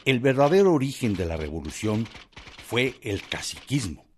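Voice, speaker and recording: A man's voice: medium pitch, big pitch swings, moderate pace, slight background noise, close to an everyday voice. An actor playing a formal, scornful man reading out an old newspaper in a declamatory way for a radio drama.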